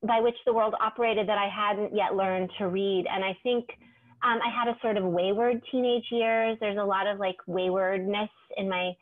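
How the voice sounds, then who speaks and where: A woman's voice: medium pitch, moderate pace. A woman in her forties from California, a poet talking animatedly from a room with bookshelves over a video call. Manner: animated, gestures with hands, reflective. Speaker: poet, guest. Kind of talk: casual conversation. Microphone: clip-on mic over video call. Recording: thin phone-like video call audio.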